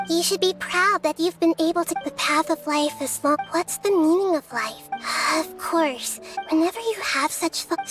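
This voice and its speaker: A woman voicing a gentle, wise little girl deity in a video game, speaking in a measured, philosophical way. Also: very high-pitched. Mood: gentle, solemn. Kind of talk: scripted video game dialogue.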